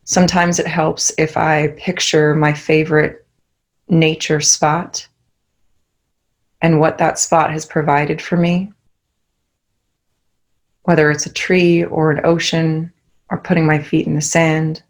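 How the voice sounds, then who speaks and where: A young woman guides a meditation in a calm, soothing way. Her voice sits low and stays level, with little rise or fall. She takes her time, and every instruction comes out slow and soft.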